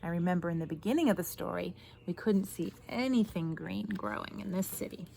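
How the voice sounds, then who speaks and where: A woman's voice: fairly low pitch, fairly quick, creaky, warm tone, a little hiss or hum. A woman in her thirties reads a picture book aloud like a teacher, expressively, stressing key words and adding asides for young listeners. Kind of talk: children's read-aloud with commentary on the pictures. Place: outdoors in a garden.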